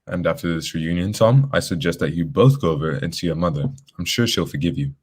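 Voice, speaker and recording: A man playing a commanding, self-assured detective in an old-time radio drama, speaking formally and deliberately. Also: low voice, noisy background, lightly acted.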